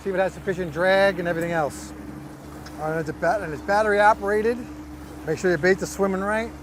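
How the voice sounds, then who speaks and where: Casual male angler, notably high voice, explains gear step by step, light boat noise behind. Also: fast. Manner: laid-back, explains step by step. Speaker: fishing partner, co-host. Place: outdoors on a fishing boat offshore.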